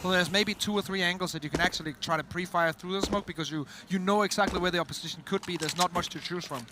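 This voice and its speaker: A man with a Danish accent, a native Danish speaker, casting a game as an esports commentator, excited and analytical. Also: sits high, fast, background noise. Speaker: esports caster.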